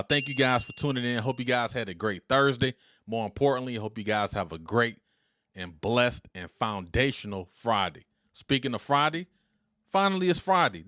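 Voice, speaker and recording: A middle-aged man hosting his own show with a big, confident voice. He talks in an upbeat, deliberate way and speaks straight into a close dynamic mic. The room adds nothing, so the sound stays dry and close. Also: mid-range voice, a bit bright, dry recording. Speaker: podcast host. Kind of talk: solo podcast monologue. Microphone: dynamic studio mic.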